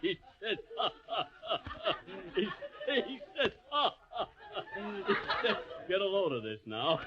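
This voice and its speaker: A man's voice: high-pitched, wildly swooping pitch, very slow, a loud crowd, exaggerated voice. An actor playing a folksy neighbour in a 1930s radio comedy, forever giggling at his own corny jokes. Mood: giggly, self-amused. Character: folksy, self-amused corny joker, male neighbour. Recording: noisy, dull vintage radio recording.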